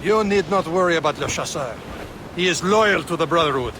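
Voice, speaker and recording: A man acting as a haughty in a video game. The voice swings widely with scornful flair, over clear harbour noise. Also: fairly high pitch, brisk, natural voice. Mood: assured. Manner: mocking, animated. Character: haughty, scornful French male Assassin seafarer. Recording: noisy outdoor harbour ambience behind.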